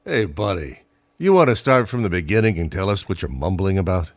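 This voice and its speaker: A man playing a commanding, no-nonsense police lieutenant in a noir radio drama, brisk and authoritative. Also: low-pitched, big pitch swings, dry recording, clean studio sound.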